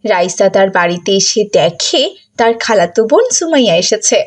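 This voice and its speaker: Woman, story narrator, medium pitch, animated intonation, scripted delivery. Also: normal speed.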